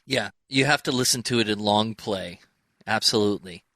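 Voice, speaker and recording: A man's voice: lowish voice, normal speed, sharp tone, dry recording. A man hosting a show, putting questions in a casual, conversational interview style. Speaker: show host.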